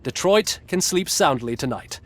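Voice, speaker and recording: A man's voice: on the high side, lively intonation, measured pace. A man playing a glib, satirical TV news anchor in a video game, with a polished, smugly ironic delivery. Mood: wry, portentous. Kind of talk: scripted satirical newscast narration.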